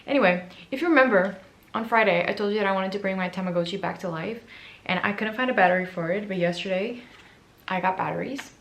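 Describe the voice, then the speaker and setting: A woman in her twenties chatting casually to camera in a book vlog. Her voice is raspy and hoarse from allergies, and she is chatty, excitable and self-mocking. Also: medium pitch, quick pace. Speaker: book vlogger.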